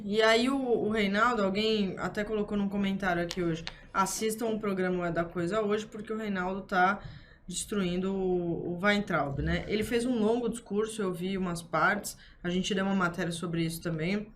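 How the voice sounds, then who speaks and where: A woman hosts a political commentary talk. Her pitch sits in a middle range. She talks hesitantly, often stopping to restart her sentences, and faint noise runs underneath. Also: normal speed. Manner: hesitant, many fillers and restarts. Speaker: host.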